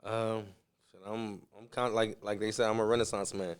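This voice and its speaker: Man, from Southern California, low-ish smooth voice, interview guest, casual, laid-back, slangy. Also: flat delivery, brisk. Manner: laid-back, slangy, often says "you feel me". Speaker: interview guest, musician and entrepreneur. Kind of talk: casual conversational interview answers.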